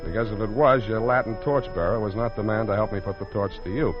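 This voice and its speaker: An actor playing a tough, wisecracking American private detective in sardonic 1940s radio style. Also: male voice, fairly low pitch, fast talker, music in the background, lightly acted. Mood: wry, narrating. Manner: sardonic, clipped, flowery narration. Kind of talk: 1940s radio acting and narration. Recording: muffled old radio recording.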